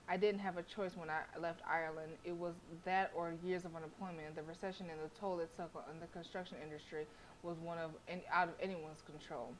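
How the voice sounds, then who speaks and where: A woman's voice: on the low side, slight background noise, rough recording. A woman streamer reading a visual novel aloud expressively, with casual, sassy asides. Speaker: game streamer.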